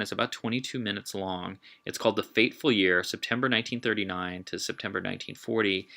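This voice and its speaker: A man giving an informal history lecture in a halting way, with emphatic, dramatic delivery when quoting. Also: low-pitched, creaky, sharp tone. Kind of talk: informal illustrated public lecture. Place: talk given to a live group.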